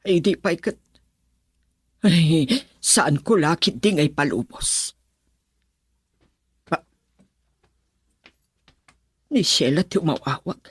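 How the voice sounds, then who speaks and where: A woman voicing a worried, middle-aged mother in a radio drama who schemes at matchmaking and speaks in a slow, musing, husky voice. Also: deep voice, no room sound, exaggerated voice. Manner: slow, musing, warmly excited on the phone. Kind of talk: Ilocano radio drama acting.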